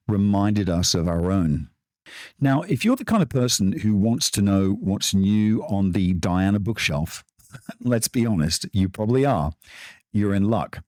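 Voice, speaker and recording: Male podcast host, low-ish and very expressive, dramatic pauses, dry close sound. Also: dry recording. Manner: animated, storytelling delivery with dramatic pauses. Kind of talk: scripted podcast monologue, then interviewing.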